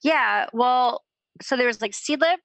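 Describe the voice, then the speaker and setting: A woman's voice: fairly high pitch, unhurried, vocal fry, fairly bright tone, no echo. A young adult woman co-hosting a podcast, with a stuffed-up, sniffly nose and a rambling, filler-heavy way of talking. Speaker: podcast co-host. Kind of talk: casual chat.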